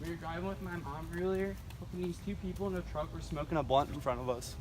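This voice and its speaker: A man's voice: a little high, quick pace, a little mellow. Young American guy, noisy casual recording. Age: teens to young adult. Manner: slangy, profane. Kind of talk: casual chat, storytelling among friends. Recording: noisy amateur recording with clear background noise.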